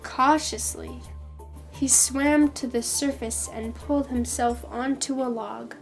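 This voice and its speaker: A woman reading a children's story expressively, with careful, measured phrasing. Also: high-pitched, fairly even intonation, gravelly, faint music behind. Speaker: volunteer storybook reader.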